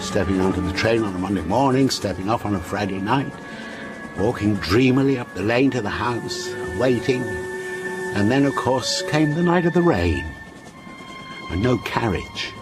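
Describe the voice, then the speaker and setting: An actor as a lively-voiced, wistful storytelling man. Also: on the low side, music in the background, lightly acted. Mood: wistful, measured. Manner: deliberate, insistent, lyrical storytelling. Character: wistful, contrary older man telling a haunting story. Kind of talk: radio drama acting, storytelling.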